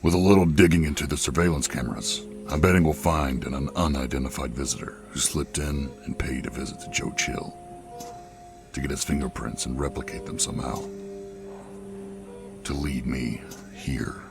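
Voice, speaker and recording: A man playing a grim, brooding masked vigilante detective in a comic dub, gruff, terse and measured. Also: fairly even intonation. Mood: low, brooding. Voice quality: very deep, gruff. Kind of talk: comic dub dramatic voice acting. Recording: voice under clear background music.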